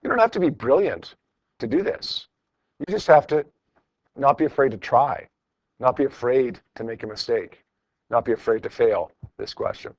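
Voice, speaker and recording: A man's voice: mid-range voice, animated, normal speed, no echo. Male teacher, slightly dull recording. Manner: informal, encouraging, repeats phrases for emphasis. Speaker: high school physics teacher. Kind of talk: classroom lecture, working through problems with students. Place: classroom with students.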